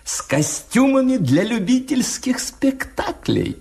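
An actor playing a scheming ship's purser in a radio drama, speaking in a deliberate, theatrical way. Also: male voice, extremely high pitch, sing-song, creaky, darkish tone, husky, heavily acted. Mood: sly, confident. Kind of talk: Soviet radio drama acting.

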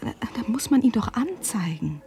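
An actress playing a worried, disbelieving sister in a German radio drama, hushed, breathy and questioning. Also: female voice, fairly high pitch, sing-song, measured pace, faint music behind. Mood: anxious disbelief. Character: worried, disbelieving sister anxious for her brother.

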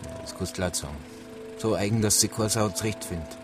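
A man's voice: on the low side, fairly quick, faint music behind, close to an everyday voice. An actor playing a dry, grumbling policeman in a radio drama, laconic. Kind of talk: naturalistic radio drama acting.

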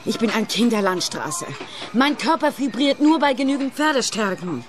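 A woman's voice: on the high side, animated, moderate pace, close to an everyday voice. An actress playing a passionate, defiant woman who longs to drive trucks, dramatic in radio-play style. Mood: defiant, yearning. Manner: impassioned, dramatic. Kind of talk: German-language radio play acting. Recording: clear ambient background noise.

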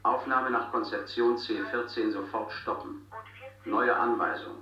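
Officious control room voice in a radio drama, lowish, clipped and commanding, muffled and distant. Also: male voice, steady tone, a little slow. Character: officious male announcer barking studio orders. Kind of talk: German radio play acting. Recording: dull, echoey, distant studio loudspeaker sound.

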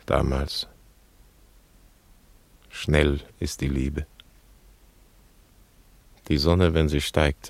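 A grieving shoemaker speaks from a radio drama, gravelly and extremely deep. He sets down short, weighty phrases slowly, like a solemn recitation of mourning. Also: dry recording, exaggerated voice. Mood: mournful.

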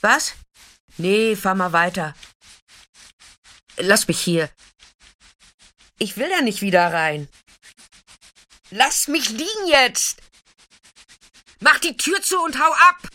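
A woman's voice: fairly high pitch, sing-song, takes it slowly, long pauses, pushed and bright, full character voice. An actress playing a tough, defiant street sex worker, telling her story in a blunt, colloquial radio drama monologue. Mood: shaken recollection, then angry, defiant. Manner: colloquial, blunt, swinging from intimate recollection to agitated outbursts.

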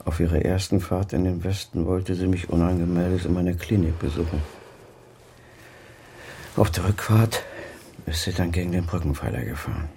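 An actor in a German radio crime drama playing a defensive, guilt-laden senior doctor and father with a husky, clipped delivery. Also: low-pitched, moderate pace, warm tone, slight background noise. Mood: subdued, troubled. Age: middle-aged or older.

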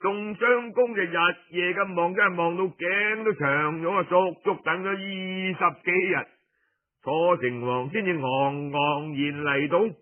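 A man tells historical tales as a traditional oral storyteller, colloquial and theatrical, drawing out his phrase endings with pauses. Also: high-pitched, phone-quality sound.

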